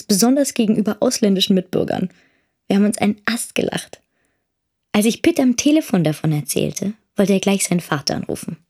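An actress playing a dryly ironic young daughter, narrating family life in a radio drama in a husky, creaky, matter-of-fact voice. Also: female voice, mid-range voice, a little mellow. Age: young adult.

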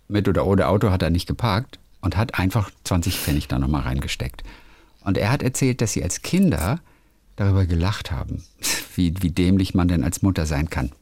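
A man's voice: sits low, animated, normal speed, darkish tone, no room sound. A male radio host in a casual phone chat with a friend, telling little anecdotes in a playful, chatty way. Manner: chatty storyteller, playful, hums melodies.

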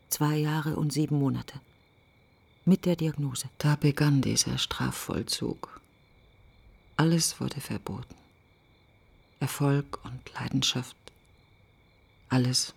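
An actress playing a bitter, grieving woman in a literary radio play monologue, speaking in clipped, intense fragments with a breathy husky voice. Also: rumbling low, a little mellow, heavily acted. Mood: grim, accusing. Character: bitter, grieving woman reflecting on her dead best friend.

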